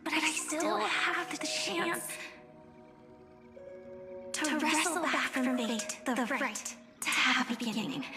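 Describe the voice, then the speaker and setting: Young game heroine, high-pitched, soft-spoken, earnest, determined, music behind. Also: female voice, fairly even intonation, slow pace, pauses often. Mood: wistful resolve. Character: gentle, determined young woman, a secret warrior. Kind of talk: video game voice acting.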